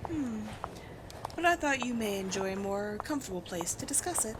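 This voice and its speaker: A woman playing a haughty, commanding vampire queen in a roleplay audio drama, speaking in deliberate, theatrical phrases with disdain for humans. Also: mid-range voice, animated, noisy background, lightly acted. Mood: cool, curious.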